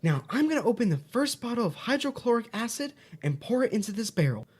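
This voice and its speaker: A woman's voice: a little high, big pitch swings, quick pace, a little mellow, slightly rough. A woman playing a cheerful, bossy children's-show host in an audio drama, giving instructions in an upbeat, reassuring way. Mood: instructive. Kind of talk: audio drama, parody of educational kids' show.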